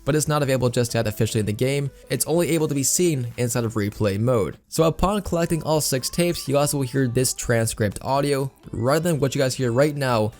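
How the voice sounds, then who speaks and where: A man's voice: mid-range voice, fast talker, hardly any pauses, a little mellow. A man hosting a gaming news video, explaining updates in YouTube commentary style with repeated emphasis words. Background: background music.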